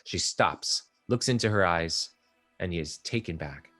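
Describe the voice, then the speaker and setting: A man's voice: sits low, lively intonation, a little slow, a bit bright, soft background music. A man narrating the stage directions of a play from a script.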